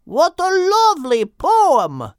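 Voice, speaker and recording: A woman voicing boisterous, wide-eyed animals in a children's storybook, exclaiming excitedly. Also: extremely high pitch, slow, dry recording, studio quality, voice pushed up, exaggerated voice. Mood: thrilled.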